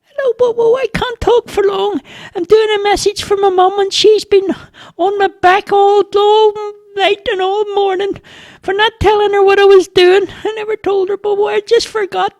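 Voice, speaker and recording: A man's voice: extremely high pitch, little pitch movement, moderate pace, voice pushed up. A man voicing a timid, eager young Irish boy in a storybook tale. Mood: hurried, apologetic.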